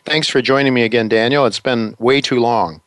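A male radio host who talks in long, meandering runs, pausing on fillers and correcting himself as he goes. His voice sits at an ordinary pitch and sounds close and dry, with no room around it. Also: steady tone, fairly bright tone, dry recording. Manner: rambling, halting with many fillers. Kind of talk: talk radio interview.

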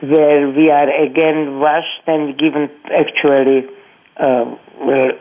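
An older woman giving her personal testimony in an interview, speaking halting, non-native English with frequent restarts and repeated words. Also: deep voice, flat delivery. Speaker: Holocaust survivor guest. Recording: tinny phone line.

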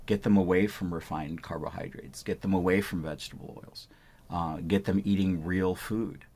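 A man's voice: sits low, steady tone, moderate pace, a little mellow. A man from Punjab in India, a doctor answering interview questions in an explanatory way. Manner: explanatory, repeats "I want" phrases. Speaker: physician.

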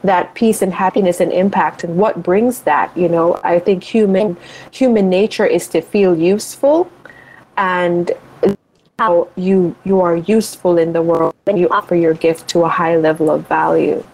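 A woman's voice: on the low side, normal speed, a little mellow, rough recording. A woman guest, a life and money coach, talking in a reflective, motivational way and thinking aloud as she goes. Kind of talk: reflective interview talk.

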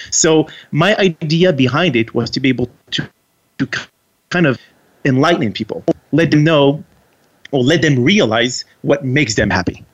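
Native French-speaking man with a Quebec accent, earnest interview guest, muffled lo-fi sound. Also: mid-range voice. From Quebec, Canada. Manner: earnest, with frequent restarts. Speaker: guest author. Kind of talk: interview answers.

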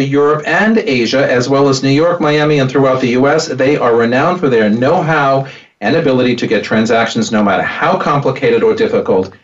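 A man's voice: mid-range voice, far from the mic, highs cut off. A man hosting a talk show about real estate. He is chatty and reads his guest's bio aloud. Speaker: host.

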